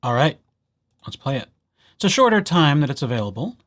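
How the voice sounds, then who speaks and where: A man presenting a casual software tutorial as a library host, with playful, wry asides. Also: fairly high pitch, sing-song, fairly quick. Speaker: library presenter hosting a digital services tutorial.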